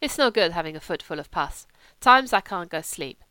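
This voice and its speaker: A woman's voice: on the low side, expressive, normal speed, sharp tone, clear, resonant tone, no room sound. Woman as sickly young German man, German accent, grumbling, demanding, self-pitying, audiobook character, dry sound.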